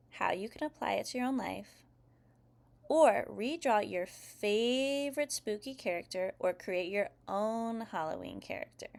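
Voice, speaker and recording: A woman with a mid-range voice and a noticeable creak reads aloud as if to a group of small children. Her delivery is scripted and expressive, with the instructive manner of a teacher addressing young listeners. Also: mid-range voice. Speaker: host reading children's picture books aloud.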